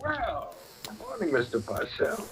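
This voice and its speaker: An actor playing a polite, obliging bank official in an old-time radio drama. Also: male voice, high voice, huge pitch swings, measured pace, full character voice. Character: deferential, eager-to-please male bank official.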